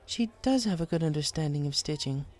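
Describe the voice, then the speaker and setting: Man as female storybook pony, raised pitch, refined, poised, polite, gently teasing. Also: expressive, fairly quick, dry recording, lightly acted. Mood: subdued, composed. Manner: ladylike, formal, polite.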